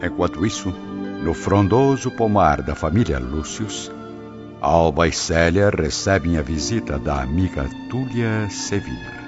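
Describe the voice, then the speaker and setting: A man's voice: low-pitched, animated, measured pace. A man narrating a scripted radio drama as its storyteller. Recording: muffled lo-fi audio with clear music behind.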